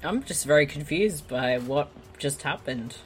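A man co-hosting a movie-riffing chat, with dry, sarcastic quips. His voice sits fairly high and moves a lot. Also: expressive, unhurried, poor audio. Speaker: co-host.

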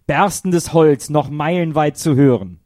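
A man's voice: on the high side, measured pace, a little mellow, slight background noise. A man narrating a radio play in a dramatic, scripted storytelling style.

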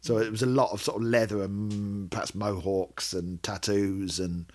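A man's voice: lowish voice, little pitch movement, moderate pace, a little mellow. A middle-aged man hosting a podcast, chatting casually and hesitantly about music fandom, often rambling and correcting himself. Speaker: podcast host.

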